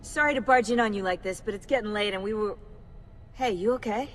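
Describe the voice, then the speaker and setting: A woman's voice: mid-range voice, brisk, in a large hall, natural voice. An actress playing a friendly, considerate young female colleague in a video game, acted in a natural, everyday way.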